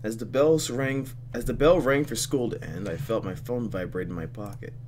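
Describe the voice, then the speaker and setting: A man hosting a gaming video, reading game text aloud and commenting with expressive, dramatic delivery. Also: mid-range voice, normal speed, background noise. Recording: game music behind. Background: game music.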